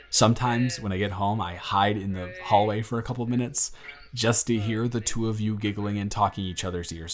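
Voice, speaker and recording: A man playing an earnest young single dad in his twenties, talking softly and a little flustered in an audio roleplay. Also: on the low side, close to an everyday voice. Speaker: father confessing feelings to the babysitter.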